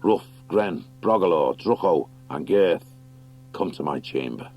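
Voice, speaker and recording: A man voicing a stern, noble badger warlord with a measured, commanding manner in an audiobook. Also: extremely low pitch, animated, lo-fi sound, soft background music, full character voice. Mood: grave, commanding. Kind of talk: audiobook character acting.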